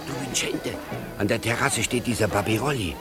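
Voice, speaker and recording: An actor playing a nervous, touchy, grumbling ex-convict accomplice in a comic 1950s German radio play. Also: male voice, on the low side, a little fast, over loud music, close to an everyday voice. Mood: nervous, urgent. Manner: grumbling, anxious.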